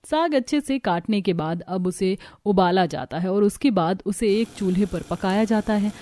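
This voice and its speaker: A woman's voice: mid-range voice, moderate pace, warm tone. Woman narrating a cartoon story.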